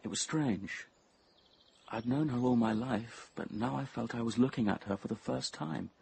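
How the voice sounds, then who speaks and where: An actor playing a brooding, obsessive young man who narrates his confession in measured, intense tones, at times near a whisper. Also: medium pitch, vocal fry, darkish tone, husky. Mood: entranced. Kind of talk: radio drama first-person narration.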